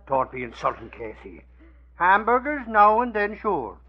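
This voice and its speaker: An actor playing a blustery, easily flustered Irish sidekick in an old-time radio drama, full of folksy exclamations. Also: male voice, high-pitched, very animated, unhurried, a crowd in the background. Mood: blustery, defensive. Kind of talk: 1940s radio acting. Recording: muffled old radio recording.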